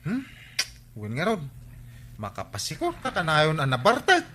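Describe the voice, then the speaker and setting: A man playing a nosy, excitable villager in an Ilocano comedy radio drama. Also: on the high side, measured pace, full character voice. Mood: curious.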